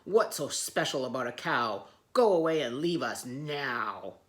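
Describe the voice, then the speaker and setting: A woman voicing gruff lions in a storybook, speaking loudly. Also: rumbling low, animated, measured pace. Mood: scornful.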